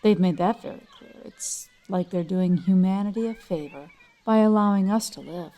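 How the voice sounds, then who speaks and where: Woman playing an earnest, mellow suburban mother. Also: mid-range voice, moderate pace, lightly acted. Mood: fearful resignation. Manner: earnest, conversational. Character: hospitable suburban mother, anxious but resigned. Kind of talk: audio drama acting.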